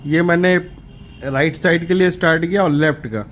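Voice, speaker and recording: Higher-pitched man giving a casual DIY tutorial. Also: normal speed, a bit bright, a little hiss or hum. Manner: informal, colloquial, repeats himself for emphasis. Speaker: DIY electronics channel host. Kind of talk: casual hands-on tutorial narration.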